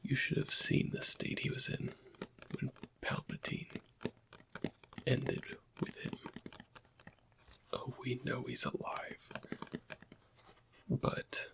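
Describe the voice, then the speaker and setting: A man playing a weary, bitter Imperial interrogator in a whispered ASMR roleplay, questioning in a halting, pointed way with a breathy, husky voice. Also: medium pitch, wildly swooping pitch, background noise, heavily acted. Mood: somber.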